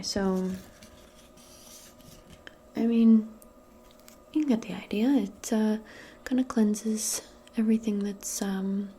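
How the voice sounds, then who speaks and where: Soft, whispery woman, slow ASMR role play. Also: medium pitch, slight background noise. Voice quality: soft, breathy, often dropping into a whisper. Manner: gentle, casual, hesitant chatter aimed closely at the listener. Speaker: ASMR performer role-playing as a modern witch.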